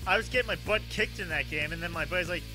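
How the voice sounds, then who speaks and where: A man's voice: high-pitched, very fast, sharp tone, faint music behind. A man hosting a casual gaming interview, rambling, repeating phrases and given to exclaiming. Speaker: podcast talk show host. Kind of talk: casual excitable interview chat.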